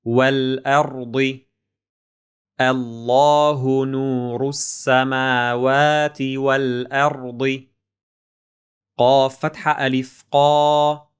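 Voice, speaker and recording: A man leads a spelling drill as a teacher, sounding out each syllable deliberately. His delivery is extremely slow and level, with little movement in pitch. The voice is very clean and resonant, and every sound is precisely articulated. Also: clean tone. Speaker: Arabic reading and spelling teacher.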